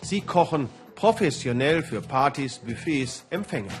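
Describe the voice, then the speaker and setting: A man's voice: medium pitch, lively intonation, unhurried, music in the background. A man narrating a scripted television news report.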